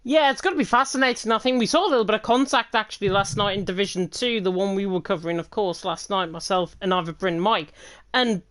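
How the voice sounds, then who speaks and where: A woman co-commentating an online racing league, talking quickly and leaning hard on the numbers. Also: medium pitch. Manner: fast-talking, lively and emphatic. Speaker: esports race commentator. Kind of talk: live sim racing commentary.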